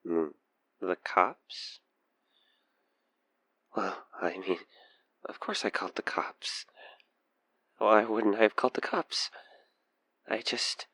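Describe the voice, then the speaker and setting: Obsessed male killer for audio roleplay, played by a man: manipulative, coaxing, unhurried, whisper-prone, recorded very dry. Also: lowish voice, a bit bright, no echo, heavily acted. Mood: anxious, coaxing. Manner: hesitant, halting, breathy sighs and whispers sliding into menace. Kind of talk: intimate audio roleplay acting addressed to the listener.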